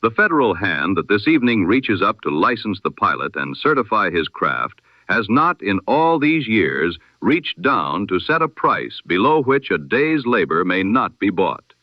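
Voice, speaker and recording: Through a dull, band-limited old radio filter, a man announces the news in the style of a 1920s bulletin. His voice sits a little low and moves expressively up and down. The delivery is formal, solemn and oratorical, with very little room sound. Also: very animated, moderate pace, dry recording.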